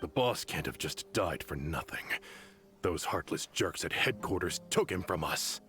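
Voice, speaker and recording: A man's voice: low voice, expressive, measured pace, a bit bright, faint music behind, full character voice. A man playing a bitter, loyal, hardened mercenary in a video game, speaking earnestly and emphatically.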